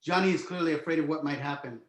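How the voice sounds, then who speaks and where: A man's voice: on the high side, quick pace. A man reading stage directions as the narrator of a screenplay table read. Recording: roomy, echoing home recording.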